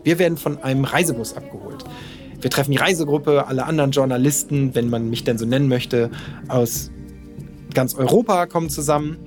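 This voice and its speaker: A man's voice: on the high side, darkish tone. Candid German man telling his story. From Lower Saxony, Germany. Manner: candid, self-deprecating storytelling. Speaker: podcast narrator. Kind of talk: personal storytelling.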